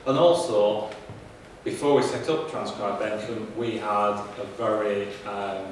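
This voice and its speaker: A man's voice: on the low side, steady tone, distant mic. A man on a conference panel, speaking into a handheld mic with frequent ums and restarts. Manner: halting, many ums and restarts. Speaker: panelist, professor. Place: conference room, seated at panel table. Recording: echoey room heard from afar, noisy.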